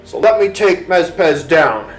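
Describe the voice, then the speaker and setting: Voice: mid-range voice, big pitch swings, unhurried, echoey room, faint music behind, pitched above the performer's own voice, low-quality recording. A storyteller voicing a friendly, helpful swamp alligator in a children's bedtime story, hearty and reassuring.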